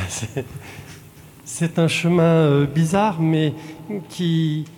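A man from Belgium, a translator, answering audience questions in a halting way with frequent restarts. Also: a little high, fairly even intonation, a little mellow. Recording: long hall reverb.

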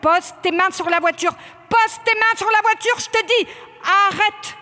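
A woman voicing an aggressive male police officer, shouting repeated commands in a live stage performance. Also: very high-pitched, unhurried, exaggerated voice. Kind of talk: stage spoken-word performance.

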